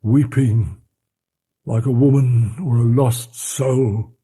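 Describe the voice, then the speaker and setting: A man voicing a frightened, loyal old male butler who talks in a hushed, fearful way. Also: mid-range voice, steady tone, takes it slowly, slightly rough, pitched above the performer's own voice.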